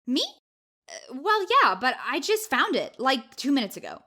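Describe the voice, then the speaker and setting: A woman's voice: sits high, sing-song, moderate pace, sharp tone, full character voice. A woman playing a flippant young wedding videographer who talks casually, full of "like", in an audio drama. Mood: defensive. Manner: casual, filler-heavy "like" speech.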